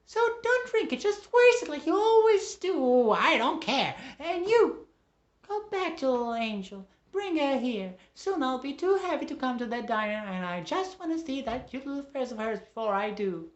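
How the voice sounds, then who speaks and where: A man voicing a bossy, gushing, gossipy older woman with a shrill, scolding manner in a theatrical monologue. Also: very animated, normal speed, lo-fi sound. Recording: roomy, echoing room.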